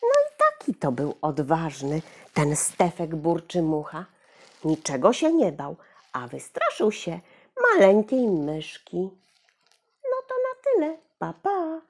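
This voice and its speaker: A woman's voice: on the low side, wildly swooping pitch, slow pace, darkish tone. A middle-aged woman telling a children's story theatrically, speaking directly to young listeners. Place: small furnished room at home, indoors.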